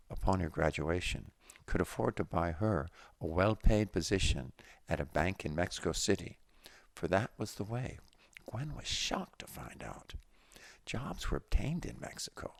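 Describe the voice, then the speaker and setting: Male story reader, bass register with heavy creak, scripted literary narration. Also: no echo. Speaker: audiobook-style reader of a story.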